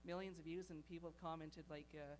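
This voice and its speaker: A man's voice: a little high, flat delivery, quick pace, a little hiss or hum. A man from the audience asking a long, hesitant question full of fillers.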